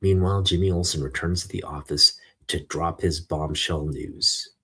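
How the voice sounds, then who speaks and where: A man's voice: deep voice, steady tone, normal speed, fairly bright tone, slightly rough. A man reading a comic book aloud as host, narrating it in a theatrical, dramatic way.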